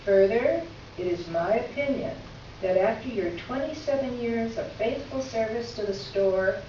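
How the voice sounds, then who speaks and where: A woman's voice: fairly high pitch, moderate pace, dark tone, close to an everyday voice. A woman playing a gracious, wry letter writer in a staged reading, speaking in formal, articulate phrases. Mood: gracious, earnest. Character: witty, gracious woman writing a candid letter. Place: echoey indoor room, recorded from afar. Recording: echoey room, distant mic, muffled, faint noise.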